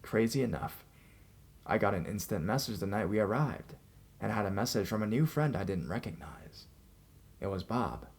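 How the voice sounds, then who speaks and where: A man's voice: on the low side, lively intonation, normal speed, creaky, darkish tone, husky. A man narrating scripted first-person scary stories as a storyteller. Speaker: narrator reading listener-submitted scary stories. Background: background music.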